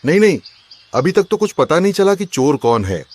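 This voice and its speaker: A man's voice: a little high, sing-song, normal speed. Man acting an aggrieved, suspicious cartoon husband. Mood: uneasy. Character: suspicious, aggrieved husband robbed of his chest.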